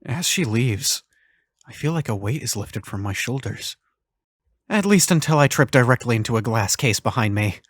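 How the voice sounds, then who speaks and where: A man playing a self-conscious high school senior, narrating a visual novel fan dub in the first person with a wry, introspective manner. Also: lively intonation, moderate pace, no room sound, lightly acted. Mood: haunted, tense.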